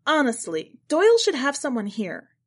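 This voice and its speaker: A woman reader voicing a brisk, exasperated female character in a short story, blunt and emphatic. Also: high voice, wildly swooping pitch, pitched above the performer's own voice. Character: brisk, exasperated woman helping with a house clearance.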